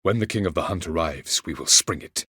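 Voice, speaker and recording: Low-voiced, haughty elven sage. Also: male voice, lively intonation, sharp tone, breathy edge, no echo, studio quality, full character voice. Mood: measured, calculating. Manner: measured, condescending, formal archaic phrasing. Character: haughty, cryptic elven sage, a cold male mage. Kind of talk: video game character dialogue.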